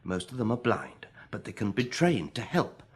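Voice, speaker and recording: An actor playing a practical, argumentative survivor in a radio drama, speaking emphatically and persuasively like someone making a speech. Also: male voice, lowish voice, sing-song, moderate pace, breathy edge. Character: forceful, argumentative practical survivor urging others to plan.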